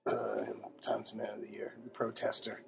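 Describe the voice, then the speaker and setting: A man voicing a soft-spoken male protester with a hushed, deadpan delivery, recorded in a restaurant. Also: moderate pace, gravelly. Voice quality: hushed, low and quiet. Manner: deadpan and understated.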